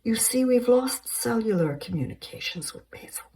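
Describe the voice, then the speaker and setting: Genteel businesswoman, voiced by a woman; breathy, high and proper. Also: animated, moderate pace, dark tone, distant mic. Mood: anxious polite. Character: polite English businesswoman, middle-aged.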